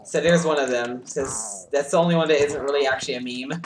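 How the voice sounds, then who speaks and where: A man streaming a game live, reacting excitably with yelps and shouts, keyboard clicking behind him. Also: medium pitch, a little fast, reverberant, slight background noise. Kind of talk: live gameplay commentary. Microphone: desk mic with audible keyboard.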